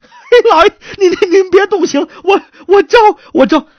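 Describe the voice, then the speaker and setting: A woman puts on a servile, talkative guard in an old-time tale. He pleads in a high, whining, stammering voice that swoops widely. The sound is close and dry, slightly muffled. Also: very animated, normal speed, no room sound. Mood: fearful confessing. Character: cowering, chatterbox male yamen guard pleading innocence.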